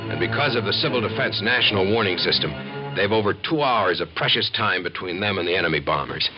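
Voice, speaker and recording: An actor playing a grave storyteller, narrating in a terse, dramatic 1950s radio documentary style. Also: male voice, deep voice, animated, fast. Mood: grave, tense. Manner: terse, dramatic, present-tense telling. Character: grave male storyteller of a nuclear attack scenario. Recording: dull old radio recording, loud music.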